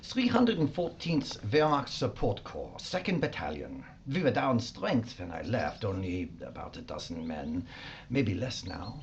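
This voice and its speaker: An actor playing a cryptic, haunted German soldier held prisoner in a war audio drama, ominous and dramatic. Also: male voice, on the low side, big pitch swings, a little slow, vocal fry, lo-fi sound, a little hiss or hum, exaggerated voice. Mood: resigned. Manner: ominous, dramatic, swinging from hushed to intense.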